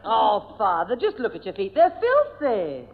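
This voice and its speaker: Lively exasperated mother. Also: mid-range voice, unhurried, vocal fry, heavily acted. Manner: bossy, scolding, broad comic delivery. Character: exasperated, scolding but indulgent working-class mother. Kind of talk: 1970 radio sitcom acting. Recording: noisy dull radio recording, studio audience, reverb.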